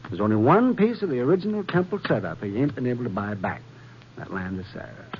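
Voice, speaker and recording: An American actor playing a chatty, gossipy small-town hotel clerk with rustic, rambling speech in a 1950s radio western. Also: male voice, mid-range voice, big pitch swings, fast, slightly rough. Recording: dull, faintly noisy vintage radio recording.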